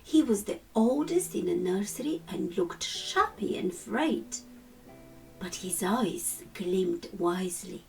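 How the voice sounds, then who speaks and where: Slow-paced woman reading a children's story. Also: medium pitch, expressive, creaky, distant mic, soft background music, poor audio. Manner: measured, deliberate phrasing. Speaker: storytime reader.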